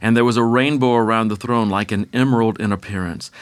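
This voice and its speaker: A man's voice: on the low side, quick pace, no room sound. A man co-hosting a Bible teaching show, chatting in a friendly way and reading scripture aloud. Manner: friendly, reads scripture aloud, frequent affirmations. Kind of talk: conversational Bible study chat.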